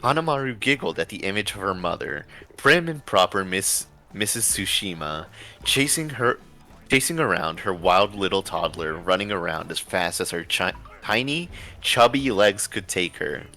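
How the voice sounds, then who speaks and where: Man, very low pitch, sharp bright tone, story reading with casual asides, self-corrections, faint music. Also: lively intonation, normal speed. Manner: stumbles over words and corrects himself. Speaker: story reader and show host.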